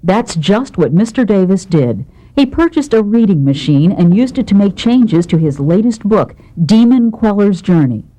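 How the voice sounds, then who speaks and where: A woman's voice: mid-range voice, big pitch swings, moderate pace, warm tone, poor audio. A woman reporter reading scripted narration for a news feature.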